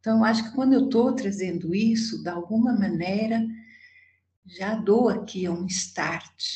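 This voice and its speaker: A woman in her sixties from Portugal, with an accent softened by years in Brazil, speaking as a psychologist guest in a reflective, halting, deliberate way. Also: fairly low pitch. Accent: Portuguese softened by decades in Brazil. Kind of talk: reflective conversational interview. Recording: echoey room with background noise.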